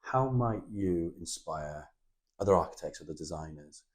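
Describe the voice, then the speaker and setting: Low-voiced admiring male interviewer. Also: animated, normal speed, darkish tone. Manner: admiring, encouraging, with many short affirmations. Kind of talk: interview questions.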